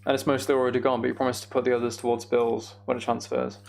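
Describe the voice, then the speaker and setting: A man's voice: medium pitch, flat delivery, fast talker, vocal fry, a little mellow, a little hiss or hum. A man livestreaming a game in casual chat, musing aloud and replying to viewers as he goes. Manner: musing aloud, reads and answers viewer comments. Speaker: live streamer.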